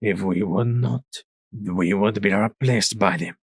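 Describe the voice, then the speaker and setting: A man doing a gravelly, weary zebra soldier. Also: on the low side, dry recording, studio quality. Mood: grim. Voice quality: gravelly, husky. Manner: slow, grave, measured. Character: weary male zebra soldier, grim survivor.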